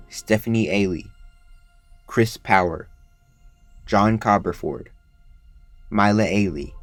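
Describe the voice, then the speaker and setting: A man reading scripted end credits as the announcer of an audio drama. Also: lowish voice. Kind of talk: scripted narration.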